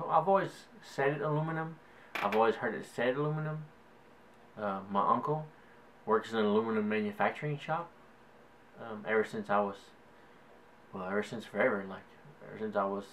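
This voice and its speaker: Slow-talking low Cajun man. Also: a bit bright, slight background noise. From South Louisiana. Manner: rambling, hedging with "like" and "um". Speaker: vlogger. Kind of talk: casual solo vlog, reading a word list.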